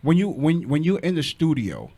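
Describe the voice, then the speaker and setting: A man's voice: fairly high pitch, huge pitch swings, normal speed, warm tone. A man co-hosting a radio show, chatting casually and interviewing guests.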